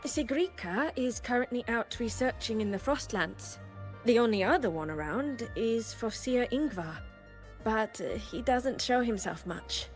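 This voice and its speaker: A woman's voice: fairly high pitch, expressive, a little slow, faint music behind. An actress playing a cheerful, eager young woman student in a game, chatty in manner.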